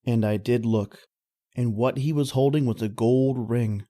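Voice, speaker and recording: A man's voice: medium pitch, fairly even intonation, a little slow, dark tone, lightly acted. A man playing a plain-talking, easygoing oil rig worker in an old-time radio horror drama, with a folksy, colloquial storytelling manner.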